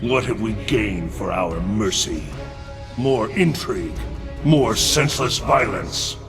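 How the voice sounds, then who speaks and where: A man playing a merciless warrior god in a fighting game cutscene, declaiming gravely and resolutely. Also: lowish voice, animated, slow. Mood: stern, vengeful. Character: stern, wrathful warrior god turned merciless ruler. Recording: music behind the voice.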